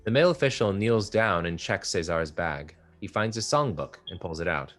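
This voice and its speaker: A man narrating the stage directions of a play in a measured table-read style. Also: low-pitched, expressive, a bit bright, faint music behind. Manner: measured, descriptive.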